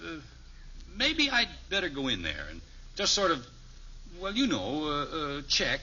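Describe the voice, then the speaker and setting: Wry suburban American father, overprotective, highish lively voice, old radio sitcom, muffled sound with faint noise. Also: measured pace, full character voice. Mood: worried, flustered. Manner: wry, exasperated, hemming and hawing. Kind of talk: 1950s radio sitcom acting.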